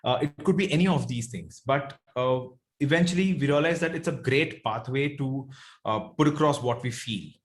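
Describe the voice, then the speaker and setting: A man with a South Indian accent talks as a guest in an interview. His voice sits at a medium pitch. He is reflective and informal, often checking in with the listener as he explains. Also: normal speed. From Bengaluru, India. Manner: reflective, checks in with "you know" and "right". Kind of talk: casual interview conversation.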